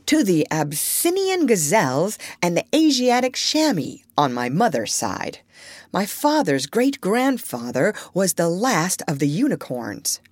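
A woman's voice: lowish voice, sing-song, a little slow, dry recording. A woman voicing a shy, formal male two-headed antelope creature in a storybook reading, reluctant and earnest. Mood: politely proud.

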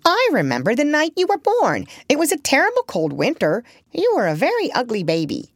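A woman voicing an elderly female parrot who chatters on with excitable gossip. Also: high-pitched, fairly quick, dry recording, clean studio sound, voice pushed up, exaggerated voice. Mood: chatty. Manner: excitable, talkative, breathless gossip.

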